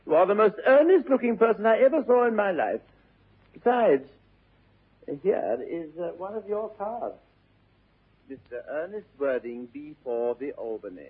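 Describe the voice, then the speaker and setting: An actor playing a witty, idle young gentleman with an upper-class English accent, arch and mock-serious in a vintage theatrical comedy style. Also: high-pitched, sing-song, normal speed, slightly rough, full character voice. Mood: accusing, amused. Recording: dull, muffled old radio recording.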